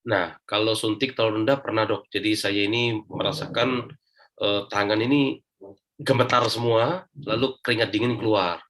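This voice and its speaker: A man's voice: on the low side, steady tone, moderate pace, a bit bright, rough recording. A man with an Indonesian accent, an interview guest giving a casual personal account step by step.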